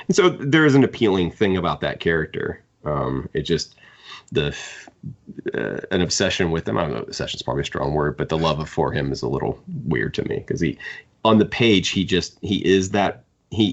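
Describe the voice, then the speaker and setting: Guy w really deep creaky voice, podcast host chatting about books, kinda halting, bit lo-fi. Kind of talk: casual book discussion.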